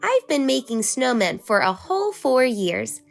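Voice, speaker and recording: A woman's voice: high-pitched, big pitch swings, measured pace, vocal fry, soft background music, voice pushed up, full character voice. A woman voicing a proud big-sister girl who teaches in a confident, instructive manner. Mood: teaching.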